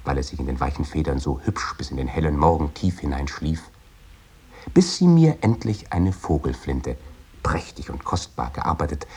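Low, husky literary male reciter. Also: darkish tone, full character voice. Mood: fond, reminiscing. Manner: measured, ornate old-fashioned phrasing. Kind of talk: literary recitation in a radio drama.

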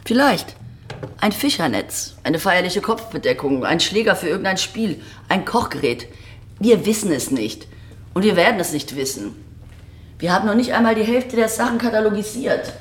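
An actress playing a blunt, disillusioned museum director in a German radio drama, speaking in a matter-of-fact, sardonic way. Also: female voice, mid-range voice, very animated, moderate pace, lightly acted. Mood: resigned, sardonic. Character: blunt, disillusioned female museum director explaining her collection. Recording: roomy, echoing archive-like acoustic.

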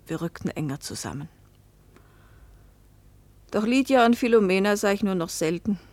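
A woman's voice: medium pitch, lively intonation, moderate pace, darkish tone, dry recording, natural voice. An actress playing a poor fishing-town woman who recounts her hard life in a restrained literary radio play narration. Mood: sorrowful, restrained.

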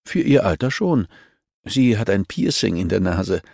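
A man voicing a composed, caring professional woman, gentle and coaxing yet self-assured, in an audiobook. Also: on the low side, big pitch swings, unhurried, gravelly, dry recording, clean studio sound, exaggerated voice. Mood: gentle, probing. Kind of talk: audiobook character dialogue.

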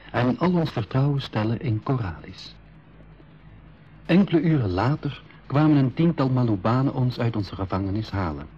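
An actor narrating a radio adventure as one of its travellers, in measured, literary storytelling. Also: male voice, fairly low pitch, a little mellow, close to an everyday voice. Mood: grave, measured. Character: composed male adventurer recounting his own tale. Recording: dull radio recording with clear noise.

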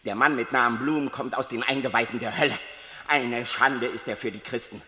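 An actor playing a venomous, fanatical preacher who denounces a sinner in theatrical, declamatory German radio play style. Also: male voice, mid-range voice, fairly even intonation, bright, forceful tone, close to an everyday voice. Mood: venomous denunciation. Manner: declamatory, accusing, drawn-out exclamations. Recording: echoey hall with long reverb.

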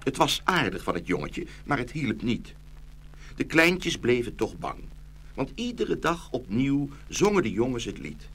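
A man's voice: medium pitch, very animated, unhurried, fairly bright tone. A man narrating a scripted fairy tale for children as the storyteller of a radio play.

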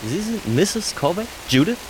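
A man's voice: high-pitched, lively intonation, moderate pace, background noise. An actor in a German radio drama playing a stiff, officious young male inspector who questions people formally and insistently. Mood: stiff, suspicious. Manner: formal, pedantic, insistent interrogating.

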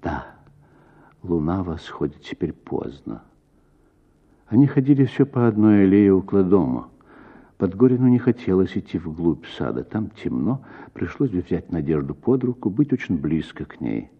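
A man reading a literary story aloud in an expressive, measured, dramatic way with deliberate pauses. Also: low voice, slightly rough, phone-quality sound. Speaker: actor reading a short story.

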